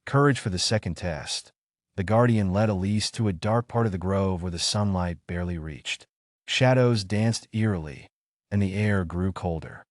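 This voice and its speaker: A man narrating a scripted bedtime story as a storyteller, in an expressive, theatrical manner. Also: deep voice, normal speed, dry recording, studio quality.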